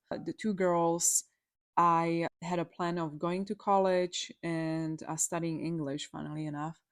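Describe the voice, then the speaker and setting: A young woman from the Czech Republic with a Czech accent, an electrologist telling her personal story in a chatty, candid vlog. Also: fairly low pitch. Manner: chatty, candid, with fillers and restarts.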